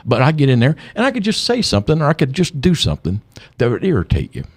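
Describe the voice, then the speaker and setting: An older man, a guest on a radio talk show, telling stories in an animated way and repeating words as he gets going. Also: mid-range voice, fast talker, husky. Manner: animated storyteller, repeats words when excited. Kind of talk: radio interview.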